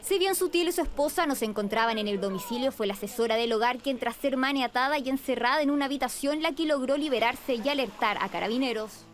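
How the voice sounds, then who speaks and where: A woman's voice: high voice, fast, hardly any pauses. A woman delivering scripted news narration as a reporter.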